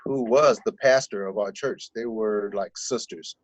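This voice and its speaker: A man's voice: fairly low pitch, measured pace, dry recording. A middle-aged man born in Korea and raised in the US Midwest, answering interview questions in an easygoing way. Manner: easygoing, laughs often. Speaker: guest. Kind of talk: casual interview conversation. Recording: dull, muffled recording.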